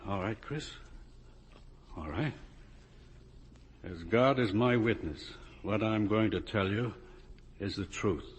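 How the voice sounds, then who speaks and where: Casting: troubled older partner, anxious and halting, low-set raspy voice, vintage radio drama. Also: male voice. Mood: weary, sorrowful. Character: anxious, guilt-ridden older business partner, now a prisoner. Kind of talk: 1950 radio drama acting.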